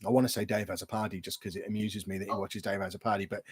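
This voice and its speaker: A man's voice: fairly low pitch, flat delivery, rapid-fire. Chatty man on a livestream. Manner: chatty, rambling, reads viewer questions aloud. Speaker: YouTuber hosting a livestream Q&A. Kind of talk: casual livestream chat.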